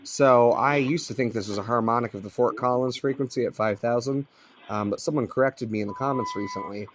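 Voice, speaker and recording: A man's voice: mid-range voice, a little fast. An American man from Colorado giving casual, laid-back vlog commentary as a radio hobbyist, recorded on a cell phone. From Colorado, United States. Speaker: shortwave radio hobbyist and video host. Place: indoors at a home on a rural property.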